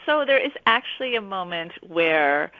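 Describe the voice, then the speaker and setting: A woman author explaining her work conversationally as an interview guest, pausing in mid-thought. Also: mid-range voice, sing-song, no room sound. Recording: slightly dull, band-limited audio.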